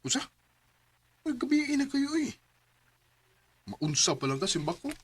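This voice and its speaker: A man narrating and acting as the lead in a radio drama, earnest and gentle in manner. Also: high voice, sing-song, unhurried, breathy edge. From Cebu, Philippines.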